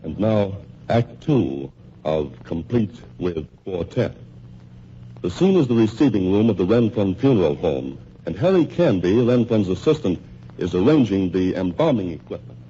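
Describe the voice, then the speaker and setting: A man's voice: low voice, big pitch swings, moderate pace, mellow, slight background noise. A male announcer giving dramatic scripted links in a radio drama. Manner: dramatic, questioning delivery. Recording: muffled 1940s radio recording.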